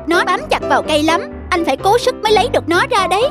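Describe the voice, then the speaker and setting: An actor voicing a young, excitable and boastful big brother mynah bird in a children's cartoon. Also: extremely high pitch, racing. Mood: teasing, boastful. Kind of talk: children's animated fairy tale dialogue. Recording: music behind the voice.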